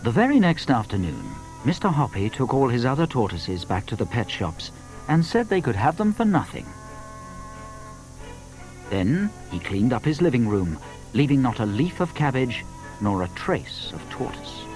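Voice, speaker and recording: A man playing a genial, wry old tortoise who narrates a children's audio story in an expressive, confiding way. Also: mid-range voice, moderate pace, faint music behind, close to an everyday voice, poor audio. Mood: warm, storybook.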